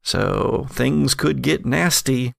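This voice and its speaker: Gravelly male game master, dry sound. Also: mid-range voice, takes it slowly, a bit bright, dry recording. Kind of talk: narrating a horror tabletop game.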